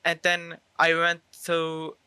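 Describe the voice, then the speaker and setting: A man's voice: a little high, completely flat delivery, slow pace, pushed and bright, smooth, no echo. A teenage male esports player with a Turkish accent, speaking English as a second language and answering interview questions in a casual, self-assured way. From Turkey. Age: teens.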